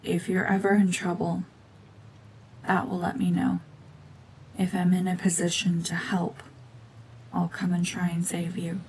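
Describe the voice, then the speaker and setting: A woman acting a cold, domineering mutant witch in an ASMR roleplay drama, hushed and often whispering, with terse, guarded commands. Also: on the low side, completely flat delivery, measured pace, long pauses, slight background noise, low-quality recording. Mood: guarded, softening. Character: renegade mutant cyborg gorgon witch, female, cold, domineering, slowly softening.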